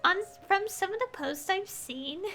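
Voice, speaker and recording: A woman's voice: very high-pitched, expressive, a little slow, pushed and bright, slight background noise. A woman casually commentating live gameplay as a streamer, rambling and trailing off in a giggly way. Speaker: game streamer. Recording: faint game music behind. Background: game music.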